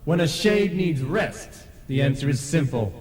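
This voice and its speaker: An actor playing a stern, pompous ghost who presides as judge, declaiming officiously in a radio play. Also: male voice, on the high side, animated, measured pace, a little mellow. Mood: indignant. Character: stern, pompous male ghost chairman of a tribunal. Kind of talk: old-time radio play acting. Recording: echoey long reverb, faint tape noise.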